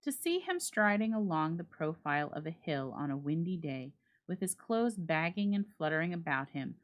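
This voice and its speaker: Female narrator, low register, for dramatic reading of classic fiction; measured and expressive with formal phrasing. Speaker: narrator reading a classic short story aloud.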